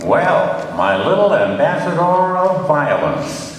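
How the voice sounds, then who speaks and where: A man's voice: a little high, big pitch swings, takes it slowly. A man playing a dry, sarcastic, suspicious police detective in an old-time radio play. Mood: sardonic. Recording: echoey hall, distant, faint noise.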